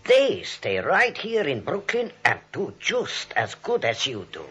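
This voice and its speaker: An actor playing a stubborn, nervy criminal sidekick, wheedling and repeating himself in 1940s radio style. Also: male voice, on the high side, huge pitch swings, measured pace, bright, forceful tone, slightly rough, full character voice. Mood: needling. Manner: wheedling, repetitive. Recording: muffled old radio recording.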